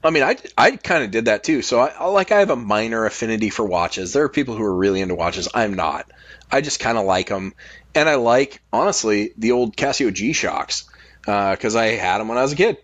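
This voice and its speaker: A man's voice: fairly low pitch, animated, brisk, fairly bright tone, no room sound. A man talking casually on a podcast, sharing personal anecdotes in a loose, conversational way. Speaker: podcast speaker.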